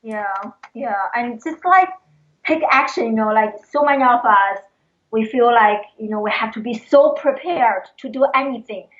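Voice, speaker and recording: A woman's voice: on the high side, moderate pace, a bit bright. A woman, a guest talking earnestly and with passion. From China. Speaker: guest, educator. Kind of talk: casual interview talk. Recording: tinny phone line.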